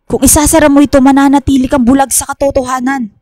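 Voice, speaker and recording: An actress playing a remorseful, secretive professor with solemn, deliberate delivery in a radio horror drama. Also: female voice, high-pitched, little pitch movement, a little mellow, dry recording, studio quality, lightly acted. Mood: pleading, insistent. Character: remorseful secretive female professor confessing family guilt.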